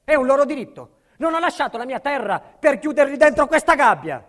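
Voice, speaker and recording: A man's voice: very high-pitched, very animated, a little fast, fairly bright tone, exaggerated voice. A male actor playing a middle-aged family man under state protection, insistent and emphatic, in a dramatic stage monologue. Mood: indignant, desperate. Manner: emphatic, insistent, repeats himself.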